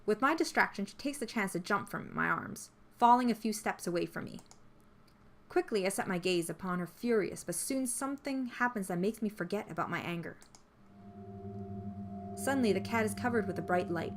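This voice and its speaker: A woman reading a story aloud as scripted narration, in an expressive storytelling manner. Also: mid-range voice, normal speed. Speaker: visual novel player reading the text aloud. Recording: music behind the voice.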